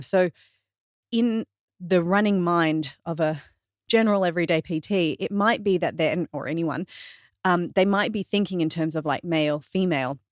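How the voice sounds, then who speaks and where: A woman guesting on a podcast, a fitness trainer talking in an animated, earnest way with lots of "like" and self-corrections. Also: on the low side, no room sound, clean studio sound. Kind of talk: casual podcast conversation.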